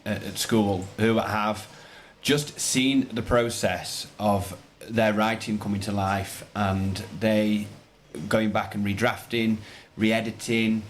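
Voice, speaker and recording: A man's voice: lowish voice, slight background noise. A man speaking as a teacher in an interview, enthusiastic and rambling, often repeating his phrases. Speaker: primary school teacher, interview guest. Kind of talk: conversational interview answers.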